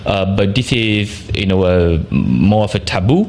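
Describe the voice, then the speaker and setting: A French-accented man in his forties answers interview questions at a slightly low pitch. He weighs his words, pausing and restarting sentences as he thinks them through. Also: big pitch swings, creaky, a little mellow. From France. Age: 40s. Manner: thoughtful, hesitant, many restarts. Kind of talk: documentary interview.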